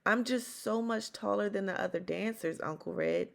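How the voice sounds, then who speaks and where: A woman's voice: medium pitch, moderate pace, creaky, natural voice. A woman voicing a sassy, tall young girl dancer who talks back in a storybook read-aloud. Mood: dejected.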